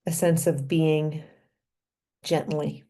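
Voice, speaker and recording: A woman leading a guided meditation in a gentle, unhurried manner, with pauses between short phrases. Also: low voice, little pitch movement, husky. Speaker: meditation leader and physician.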